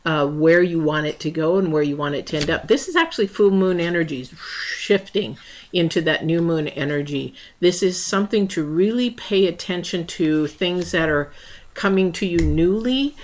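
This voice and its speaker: A woman from the United States giving a casual tarot reading, rambling with many fillers and restarts, her voice a little worn and going hoarse. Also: on the low side, lively intonation, moderate pace. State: losing her voice. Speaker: tarot reader. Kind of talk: casual spiritual reading to camera.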